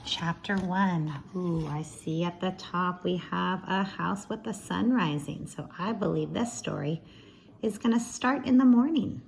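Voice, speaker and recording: A woman reading a storybook aloud to young children like a teacher, expressive, with friendly asides to her listeners. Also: on the low side, unhurried, gravelly, slight background noise, low-quality recording.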